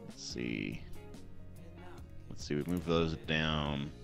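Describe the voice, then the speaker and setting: A young man with a deep radio-style voice chatting casually on a live stream while he narrates his design work. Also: slow, creaky, music in the background. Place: small room at home. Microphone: headset or desk mic at a computer.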